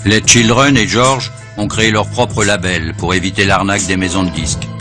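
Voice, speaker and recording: A man doing the scripted, matter-of-fact narration of a documentary, read over loud music. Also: on the low side, steady tone, normal speed.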